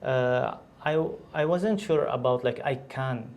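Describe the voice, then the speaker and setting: Syrian male doctor, English, halting casual interview answers. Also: mid-range voice, darkish tone, a little hiss or hum. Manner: hesitant, many restarts and fillers. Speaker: guest, physician.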